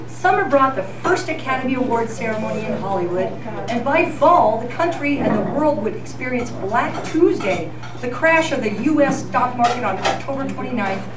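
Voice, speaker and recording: A woman co-hosting as a radio news reporter, reading scripted old-time radio narration in a senior center hall. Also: on the high side. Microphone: picked up from far off. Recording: echoey, noisy, distant live event recording.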